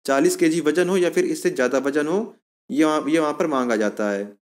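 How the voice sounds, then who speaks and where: A man's voice: a little high, a little fast. A man giving an informal explanatory lesson as an exam coaching presenter, going step by step and repeating himself to recap.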